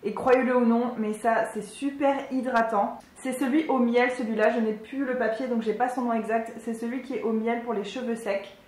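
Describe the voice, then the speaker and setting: A woman's voice: a little high, fairly even intonation, normal speed, hardly any pauses, a little mellow, roomy sound. A woman in her twenties chatting casually and enthusiastically as a beauty vlogger, with lots of fillers. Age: 20s. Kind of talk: casual product review.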